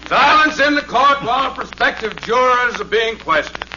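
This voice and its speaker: An orotund, officious judge in a vintage radio comedy. He declaims formally in a high voice, through the dull, noisy sound of an old broadcast. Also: lively intonation, moderate pace. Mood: stern, impatient. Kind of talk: 1940s radio comedy acting.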